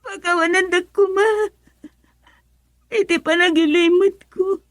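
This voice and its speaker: A woman's voice: very high-pitched, little pitch movement, unhurried, no echo, studio quality. A woman acting a timid, tearful young daughter in an Ilocano radio drama, pleading hesitantly.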